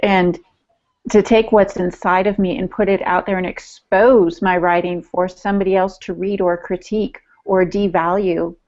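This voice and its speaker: A woman's voice: mid-range voice, a little mellow. A woman co-hosting a casual podcast discussion about writing, on a dull lo-fi recording. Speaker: podcast co-host.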